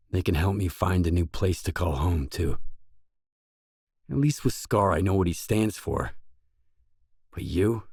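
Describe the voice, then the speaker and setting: Deep voiced game stalker dude, terse and guarded, slow talker, dry close sound. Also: male voice, darkish tone, slightly rough, dry recording, heavily acted. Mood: firm, suspicious. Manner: terse, businesslike, probing questions. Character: gruff, guarded, determined stalker, a man roaming a hazardous zone. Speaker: video game protagonist. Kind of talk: video game dialogue.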